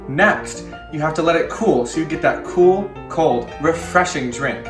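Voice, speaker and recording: A young man with a slightly high voice narrates an educational video from a script. The room is clearly echoey, and plucked guitar music plays behind him. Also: animated, measured pace. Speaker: presenter and student actor on a sound team. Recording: roomy echoey recording with music. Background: plucked guitar background music.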